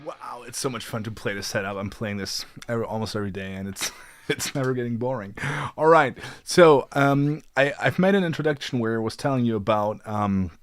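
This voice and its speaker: A man's voice: medium pitch, fairly quick, a little hiss or hum. A man in his late twenties talking casually as a livestream host, in a hesitant, rambling way with repeated words. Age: late 20s.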